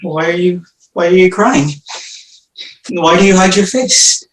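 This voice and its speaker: An actor playing a sick, tormented young prince haunted by madness, weary and feverish by turns. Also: male voice, sits high, fairly even intonation, unhurried. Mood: exhausted. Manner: weary and feverish, swinging into frantic raving. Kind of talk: stage play reading. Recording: roomy echo.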